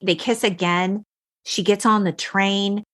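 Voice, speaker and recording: A woman hosting a film podcast, chatting in an emphatic, expressive way and acting out or reading quotes as she goes. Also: mid-range voice, takes it slowly. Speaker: podcast host. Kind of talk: animated film chat, retelling and reading out quotes.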